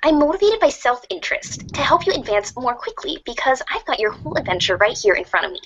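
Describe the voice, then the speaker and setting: A woman's voice: high voice, big pitch swings, fast talker, a bit bright, husky, full character voice. A woman voicing a bossy, needling blind troll girl in an amateur reader's theater. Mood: scheming. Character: teasing, bossy blind troll girl, a leet-speaking online schemer. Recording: muffled lo-fi, noisy home recording.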